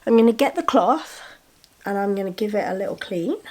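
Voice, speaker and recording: A woman's voice: medium pitch, lively intonation, brisk. Woman vlogger, a bit congested from a cold, chatty and enthusiastic, show-and-tell to camera. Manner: chatty, enthusiastic, with soft giggles. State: a little bunged up with a cold. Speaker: vlogger showing gifts.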